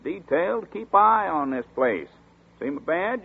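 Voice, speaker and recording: An actor playing a chatty small-town sheriff's deputy who talks in a folksy rural dialect, in 1940s radio acting. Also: male voice, high voice, sing-song, moderate pace, husky, heavily acted. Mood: gruff. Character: folksy drawling small-town male deputy, middle-aged. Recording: tinny scratchy 1940s radio broadcast.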